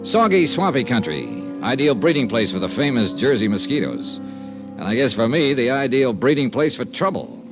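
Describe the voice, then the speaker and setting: A man's voice: medium pitch, very animated, moderate pace, natural voice. A man playing a wry, skeptical American insurance investigator in vintage radio detective style, with dry, wisecracking delivery. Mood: brooding, wry. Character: wry, skeptical freelance insurance investigator narrating his case. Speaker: lead actor and narrator. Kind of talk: 1940s-50s American radio detective acting. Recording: old radio recording with music behind.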